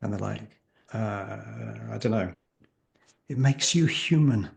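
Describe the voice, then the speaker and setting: A man with a creaky voice speaking as an interview guest and coach, explaining emphatically with rhetorical questions and acted-out examples. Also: lowish voice, takes it slowly. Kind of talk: animated interview conversation, explaining.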